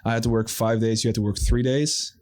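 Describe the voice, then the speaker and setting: A man's voice: medium pitch, flat delivery, fairly quick, darkish tone. A man co-hosting a casual podcast chat, rambling with many fillers and repeated agreements. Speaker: podcast co-host.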